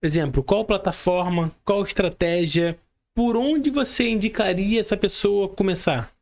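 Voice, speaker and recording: A man's voice: sits high, measured pace, a little mellow. A man with a Brazilian Portuguese accent hosting a conversational interview, explaining points and often checking for agreement with short tag questions. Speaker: podcast host.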